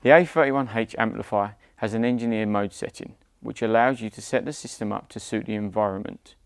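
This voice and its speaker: A British man, around his forties, giving scripted step-by-step product instructions in a measured, deliberate way. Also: on the low side, vocal fry, smooth, no room sound, studio quality. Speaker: product demonstrator.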